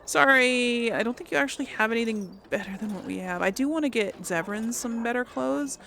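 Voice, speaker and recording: A woman's voice: a little high, a little fast, gravelly, a bit bright, slight background noise. A woman hosting a casual game playthrough, chatting and thinking aloud as she plays. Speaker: gaming video host. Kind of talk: casual playthrough commentary with role-played dialogue.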